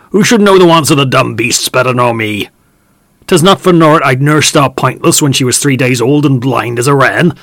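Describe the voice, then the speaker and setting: Male reader as old farmhand: high, loud, pleading, broad dialect, very dry close sound. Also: dry recording. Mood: aggrieved.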